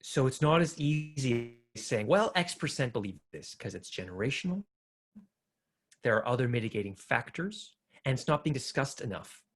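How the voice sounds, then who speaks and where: A man's voice: mid-range voice, very animated, moderate pace. A man speaking earnestly as a panel speaker, answering audience questions with frequent hesitations. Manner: earnest, argumentative, frequent filler "uh".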